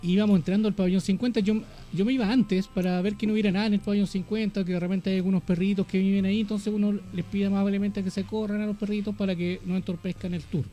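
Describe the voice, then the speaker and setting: Chilean dude, high voice, talks super fast, warm mellow tone, music low in the back. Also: male voice. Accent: Chilean Spanish. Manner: lively, quick storytelling. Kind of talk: casual radio conversation. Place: radio studio.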